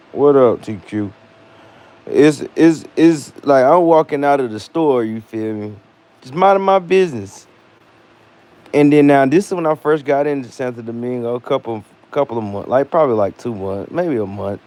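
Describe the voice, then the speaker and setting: American guy doing a casual storytime vlog, lots of slang, acts out the dialogue, medium pitch. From United States. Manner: animated retelling with slang and acted-out dialogue. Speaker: vlogger.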